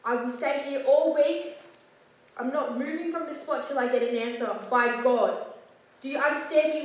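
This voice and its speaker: A woman's voice: fairly high pitch, a little mellow, close to an everyday voice. A woman playing an impatient interrogating officer with firm, clipped questions, recorded from far off on an echoey stage. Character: stern interrogating officer losing patience.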